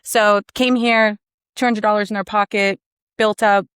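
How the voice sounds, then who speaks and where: A woman's voice: mid-range voice, steady tone, a little slow, fairly bright tone, clear, resonant tone, dry recording, clean studio sound. A woman in her thirties from Maryland, a podcast guest telling her story in a confident, chatty way. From Maryland, USA. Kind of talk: casual conversation.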